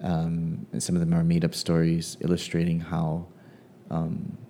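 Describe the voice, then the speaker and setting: Low, slow, hesitant man from Hawaii. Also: monotone, mellow, slight background noise. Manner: hesitant, many ums and pauses. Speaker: playwright. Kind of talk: casual personal reflection.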